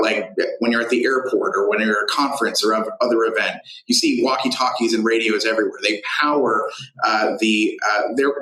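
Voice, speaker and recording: A man being interviewed as a tech founder, explaining his business in halting phrases with frequent pauses. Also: mid-range voice, fairly bright tone, echoey room, poor audio.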